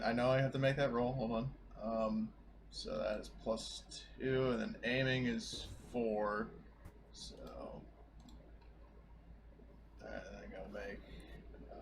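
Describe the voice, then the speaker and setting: A man chats as a player in a tabletop game, taking his time over his words. He is sweary and playfully cocky, with faint noise behind him. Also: mid-range voice. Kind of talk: casual gaming chat.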